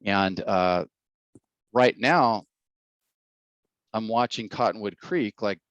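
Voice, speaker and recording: A man's voice: lowish voice, slow, a bit bright, no room sound, muffled recording. A middle-aged man, a mayoral candidate answering interview questions in a blunt, rambling way and repeating his words.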